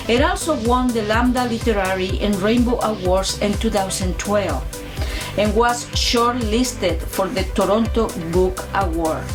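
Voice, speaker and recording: A woman hosting a talk show, reading an introduction and asking simple, direct questions, sometimes stumbling over her words. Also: mid-range voice.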